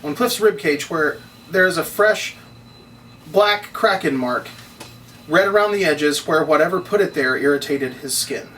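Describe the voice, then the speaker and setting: A man's voice: fairly high pitch, measured pace, a bit bright. A man reading stage directions as scripted narration at a table read. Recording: distant mic, noisy room ambience.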